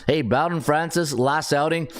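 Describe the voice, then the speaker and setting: A middle-aged man hosting a sports betting podcast, his voice raspy and strained, rambling through odds with fillers and self-corrections. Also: fairly high pitch, normal speed. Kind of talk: casual sports betting rundown.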